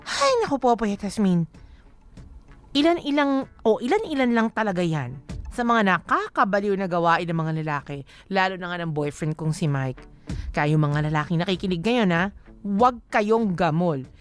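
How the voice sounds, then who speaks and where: A woman's voice: on the low side, expressive, normal speed, soft background music. A woman with a Filipino accent hosting on radio, chatty and opinionated, giving conversational commentary full of slang. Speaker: radio host.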